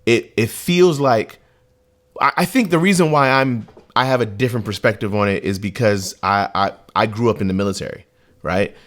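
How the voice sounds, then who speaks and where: A man's voice: fairly low pitch, moderate pace, fairly bright tone. A young adult man co-hosting a podcast, talking in a loose, conversational way with plenty of filler asides. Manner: loose, conversational, frequent "you know what I mean". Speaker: podcast co-host. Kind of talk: casual podcast discussion.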